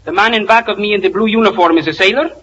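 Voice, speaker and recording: A man's voice: high voice, racing, very noisy, lightly acted. An actor playing a courteous foreign man who carefully mangles his English in a 1940s radio comedy. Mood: polite. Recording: muffled 1940 radio, crowd behind.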